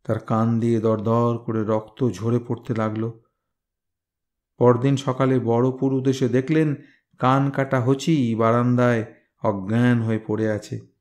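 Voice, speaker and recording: Male narrator, mid register, unhurried and expressive, for dramatic folktale readings. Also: mid-range voice. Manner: measured, expressive. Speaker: storyteller, reader.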